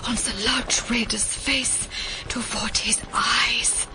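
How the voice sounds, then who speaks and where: An actress playing a shaken, dedicated female scientist in an audio drama, speaking in a slow, hushed way. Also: a little high, fairly even intonation, low-quality recording. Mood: haunted, shaken. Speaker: voice actor. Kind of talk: modern audio drama acting. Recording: dramatic music under the voice.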